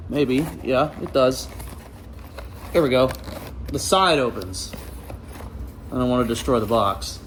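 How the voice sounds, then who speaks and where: A man's voice: medium pitch, lively intonation, measured pace, long pauses, slight background noise. An American man giving casual hands-on unboxing commentary in an informal, enthusiastic way. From Ohio, United States. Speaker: vlogger. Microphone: handheld camera.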